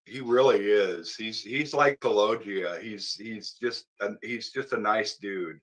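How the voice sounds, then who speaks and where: A man's voice: on the low side, a little slow. A man in a casual panel discussion, speaking informally and emphatically, often repeating himself. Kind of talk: casual debate chat.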